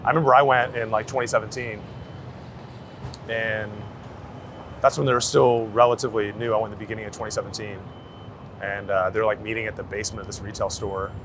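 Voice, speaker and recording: Gravelly man chatting on a city street. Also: on the low side, little pitch movement, a little fast, long pauses, a bit bright. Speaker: street photographer being interviewed. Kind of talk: casual interview chat on the street. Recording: outdoor city street noise, traffic.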